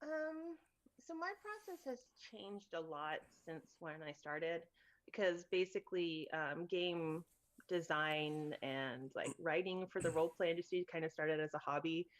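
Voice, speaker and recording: A woman from Thailand talks casually as a guest about her path into game design. Her voice sits a bit low and is recorded dry and close. She peppers her speech with "like" and laughs often. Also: expressive, a little slow, no echo. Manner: chatty, lots of "like" and laughs. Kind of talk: casual interview chat.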